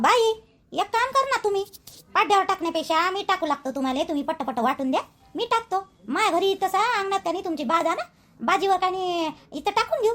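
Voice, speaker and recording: Gossipy cartoon village housewife played by a woman, Vidarbha Marathi accent, extremely high childlike pitch, colloquial and expressive. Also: exaggerated voice. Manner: colloquial, expressive, drawn-out calls. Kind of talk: cartoon dialogue in rural gossip.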